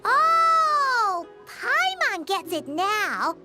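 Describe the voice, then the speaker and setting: An eager little fairy companion for a video game. The voice is tiny, squeaky and extremely high, bubbly and naive, bouncing up and down. Music plays prominently behind it. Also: female voice, very animated, extremely slow, exaggerated voice. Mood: excited. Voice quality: squeaky, childlike. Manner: bubbly, speaks of herself in third person. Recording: loud background music under the voice.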